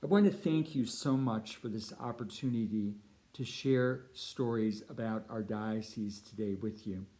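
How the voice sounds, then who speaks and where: Mellow, lower-voiced middle-aged Californian priest. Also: male voice, unhurried. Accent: Californian American. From United States (Chicago and Southern California). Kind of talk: prepared mission appeal talk.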